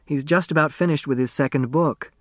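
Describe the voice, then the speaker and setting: A man voicing a loyal woman lawyer in an audiobook, matter-of-fact and gently reassuring. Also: on the high side, brisk, no echo, clean studio sound, lightly acted. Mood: fond, upbeat.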